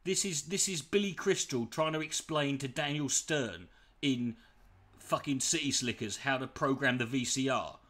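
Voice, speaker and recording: A man's voice: medium pitch, moderate pace. A man with an Irish accent giving a profane, mocking reaction commentary from home. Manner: mocking, swearing, animated.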